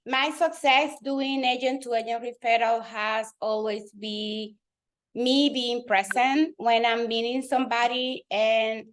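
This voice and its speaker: A woman's voice: fairly high pitch, steady tone, slow pace, low-quality recording. A woman from the Dominican Republic, a native Spanish speaker with a Dominican accent, talking animatedly as a guest in a webinar conversation. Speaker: guest real estate team leader.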